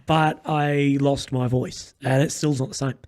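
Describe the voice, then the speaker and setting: A young man with a croaky, crackly voice, hosting a podcast as casual, blunt chat full of swearing. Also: medium pitch, level tone, measured pace, darkish tone. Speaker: podcast host.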